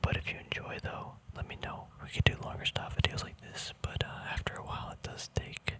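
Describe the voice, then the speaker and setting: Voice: a little fast, fairly bright tone. An ASMR host speaking in a casual, rambling whisper, hesitant and thinking aloud. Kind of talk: ASMR whispering, casual card unboxing chat.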